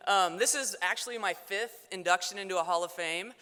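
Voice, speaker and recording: A young woman with a mixed South Dakota and Texas accent gives a loud, fast, joking acceptance speech at a banquet. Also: medium pitch. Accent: mix of South Dakota and Texas. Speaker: hall of fame inductee giving acceptance speech. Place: banquet hall. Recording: hall reverb.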